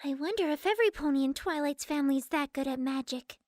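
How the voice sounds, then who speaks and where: A woman plays a gentle, shy young cartoon pegasus mare. The voice is very high and halting, softly earnest, and recorded dry and close. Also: lively intonation, dry recording. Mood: admiring.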